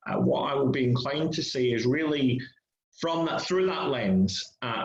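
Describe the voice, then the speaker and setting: A middle-aged man with a mixed Scottish and Northern Irish accent, giving an academic talk over a video call in a self-deprecating, hesitant way with frequent restarts. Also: mid-range voice. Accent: Scottish and Northern Irish mix. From Scotland. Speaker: guest lecturer. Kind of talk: academic presentation. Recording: echoey room, dull lo-fi call audio.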